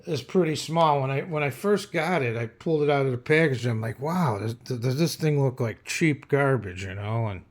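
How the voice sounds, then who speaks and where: A man talks through a product review at a slow, unhurried pace. His delivery is casual and unscripted, with a hesitant, plain-spoken manner and a medium-pitched voice. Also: a little mellow. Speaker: radio reviewer.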